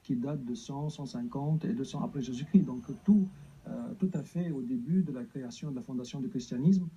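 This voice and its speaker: Hesitant man, very dark voice. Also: medium pitch, fairly quick, breathy edge, muffled recording. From Egypt. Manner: hesitant, many fillers and restarts. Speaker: guest. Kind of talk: TV interview answers.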